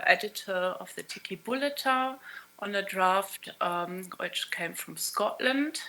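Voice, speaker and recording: Woman, non-native English speaker, conference keynote, frequent ums, gravelly, crisp bright tone. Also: on the low side, rough recording. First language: not English. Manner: hesitant, many ums and uhs. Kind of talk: conference presentation. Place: conference hall.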